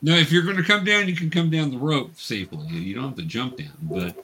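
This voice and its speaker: A man's voice: on the high side, fairly quick, bright, forceful tone, a little hiss or hum. A man acting as game master, narrating a tabletop game and explaining options to the players.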